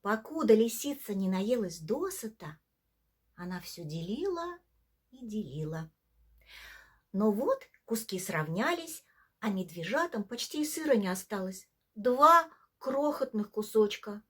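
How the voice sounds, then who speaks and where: A woman narrating a bedtime folk tale for children, theatrically, with hushed drops at the ends of phrases. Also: medium pitch, sing-song, unhurried, slightly rough. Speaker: storyteller, theatre actress. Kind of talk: expressive scripted bedtime story narration for children.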